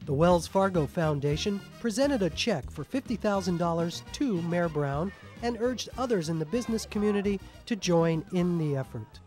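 Male program narrator, higher register, scripted read, light music underneath. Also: animated, normal speed, darkish tone.

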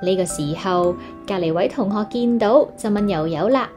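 A woman's voice: medium pitch, lively intonation, moderate pace, music in the background. A woman with a Hong Kong Cantonese accent reading a children's story aloud expressively, as if to young listeners.

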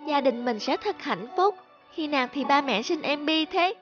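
A woman's voice: high voice, expressive, normal speed, a bit bright, lo-fi sound, soft background music. A woman voicing a small, earnest angel girl in a cartoon, childlike and polite, speaking lines. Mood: sweet, pleading. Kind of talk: animated fairy-tale dubbing.

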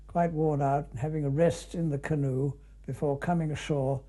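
An older British man recalls an expedition as he comments on a film. His voice is very soft-edged and dark, set a little high for a man. He talks conversationally, without a script, dropping in short musing asides. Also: fairly even intonation, normal speed.

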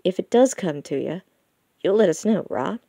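A grown wolf son with a casual, slightly harried manner, played by a woman narrator. His voice sits low, with a creaky edge and a close, dry sound, as in an audiobook. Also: animated, normal speed, mellow, no echo. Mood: fond, concerned. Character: adult male wolf architect, dutiful, mildly exasperated son. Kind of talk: audiobook character dialogue.

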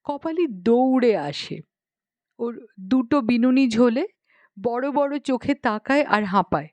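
A woman's voice: on the high side, animated, measured pace, a little mellow, no echo, highs cut off. A woman narrating a story expressively, with a dramatic storytelling manner. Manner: dramatic storytelling with acted dialogue. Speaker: audiobook narrator.

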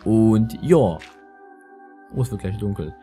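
Young male let's player, lisping, soft-edged mellow tone, chatty slangy rambling, light music underneath. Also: fairly low pitch, lively intonation, takes it slowly. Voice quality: lisp. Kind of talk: casual gameplay commentary.

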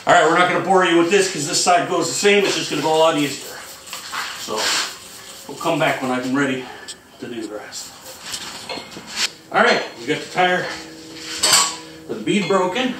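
A man's voice: a little high, animated, moderate pace, pauses often. A man in his forties hosting a casual how-to demonstration in his garage, chatty and joking while he works. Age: 40s. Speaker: homestead channel host. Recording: echoey garage, distant mic, noisy.

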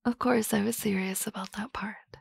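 A woman playing a teasing, confident office boss in a soft, close, whispered ASMR roleplay. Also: medium pitch, fairly even intonation, normal speed, vocal fry, slightly rough, no room sound.

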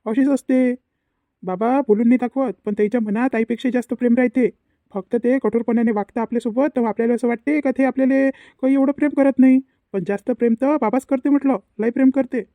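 Guy as earnest young hubby in family cartoon, high pitched for a man, dry sound, varhadi marathi accent. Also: fairly even intonation, fairly quick, mellow, dry recording. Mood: grateful. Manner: earnest, explanatory. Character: earnest devoted young husband, apologetic and protective. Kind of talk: cartoon family drama dialogue.

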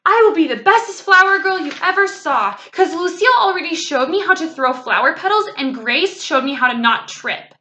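A woman voicing an excitable, bossy little girl in a children's storybook, gushing and emphatic with childish grammar. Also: a little high, measured pace, few pauses. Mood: boastful excitement. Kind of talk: children's storybook read-aloud.

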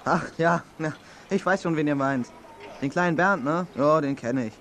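Man playing an easygoing, higher-voiced young lad. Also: normal speed, fairly bright tone, slight background noise, natural voice. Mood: helpful. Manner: colloquial, easygoing. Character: casual, helpful young lad on a moped. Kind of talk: children's audio drama acting.

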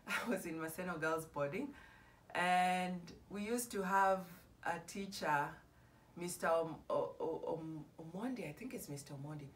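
Soft-spoken woman, slow and halting. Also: on the low side. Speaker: gospel singer. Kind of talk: spoken devotional introduction then solo hymn singing. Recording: voice a bit far from the mic.

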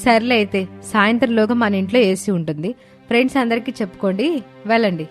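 A woman's voice: medium pitch, fairly quick, faint music behind, lightly acted. A woman voicing a confident, dutiful young wife and mother in a dramatised story, assertive and reassuring.

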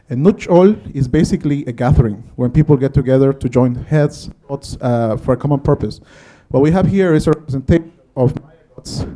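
A man in his thirties with a Guatemalan Spanish accent speaks as a conference presenter. His voice is very dark and soft-edged, at an ordinary male pitch. He lectures conversationally, pausing often, into a handheld microphone in an auditorium. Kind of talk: public lecture.

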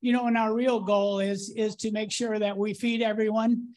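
A man moderating a conference panel, speaking with many halting restarts and repeated words. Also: high voice, little pitch movement, a little mellow.

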